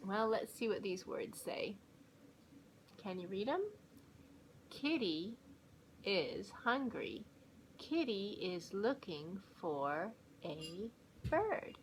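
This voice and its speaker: A woman reading aloud slowly to young children like a teacher, with a deliberate, coaching and animated manner. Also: mid-range voice, a little hiss or hum. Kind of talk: slow read-along for young children.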